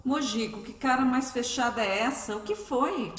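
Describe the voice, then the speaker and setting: A woman's voice: a little high, normal speed, fairly bright tone, lightly acted. An actress playing a caring, curious older mother in a radio drama, with a homely, inquisitive manner. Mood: concerned. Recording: echoey, noisy recording with clicks.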